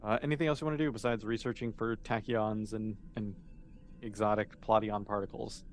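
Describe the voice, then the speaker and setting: A man's voice: on the low side, fairly even intonation, brisk, faint music behind. A man running a tabletop role-playing game as game master, explaining things in a casual, encouraging way.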